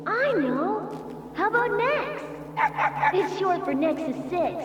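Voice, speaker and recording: A woman voicing a timid, trusting little girl android in a video game, soft and hesitant in her delivery. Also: extremely high pitch, very animated, slow pace, full character voice. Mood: playful, friendly. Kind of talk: English video game dub acting. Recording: roomy echo, clear background noise.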